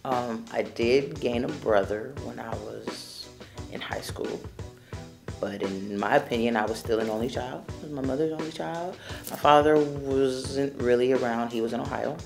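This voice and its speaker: A woman's voice: rumbling low, moderate pace, gravelly, music in the background. A woman from Los Angeles, a spoken word poet, talking casually and wryly about her upbringing. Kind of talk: documentary interview and poetry.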